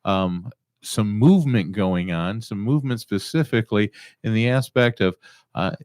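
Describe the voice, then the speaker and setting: A man's voice: fairly low pitch, moderate pace, a little mellow, no room sound, studio quality. A man hosting an interview show, rambling a little with fillers as he introduces his guest. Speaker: host.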